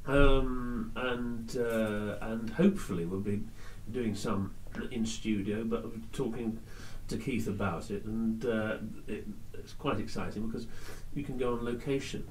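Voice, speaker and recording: A man's voice: lowish voice, fairly even intonation, unhurried, hardly any pauses. A man, an actor chatting enthusiastically in an interview, joking and restarting his sentences. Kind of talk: casual interview chat. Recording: roomy echo, distant from mic, faint noise.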